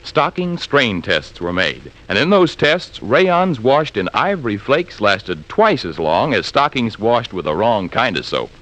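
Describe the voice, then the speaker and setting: A man's voice: fairly low pitch, big pitch swings, measured pace, hardly any pauses, a bit bright. A man with an American accent reading a 1940s radio commercial in a persuasive, polished announcer style. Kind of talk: 1940s radio advertising read.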